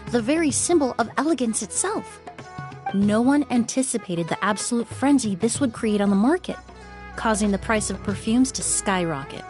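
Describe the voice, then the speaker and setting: A woman voicing a polite, composed young perfumer in a video game, explaining things in a measured, courteous way. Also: mid-range voice, close to an everyday voice.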